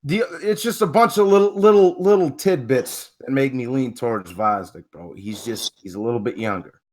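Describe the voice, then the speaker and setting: Man, caller, profane, rambling, emphatic, mid pitch. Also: normal speed. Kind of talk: casual call-in chat.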